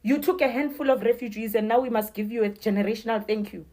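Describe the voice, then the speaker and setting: Emphatic, higher-voiced South African woman. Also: fast talker, warm tone. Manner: emphatic, declarative, short punchy sentences. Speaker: commentator. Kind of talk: opinion monologue to camera.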